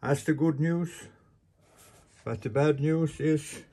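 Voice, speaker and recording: A man in his eighties from Sweden, a solo sailor explaining his boatbuilding in a casual, halting, plain-spoken vlog in his workshop. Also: a little high, warm tone, a little hiss or hum. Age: 80s. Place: large indoor boat workshop.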